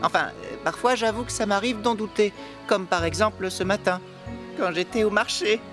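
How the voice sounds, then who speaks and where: Young boy hero, woman performer: trusting, enthusiastic, sincere cartoon delivery over clearly audible music. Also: mid-range voice, moderate pace, close to an everyday voice. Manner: wide-eyed, sincere. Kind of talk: animated cartoon dub.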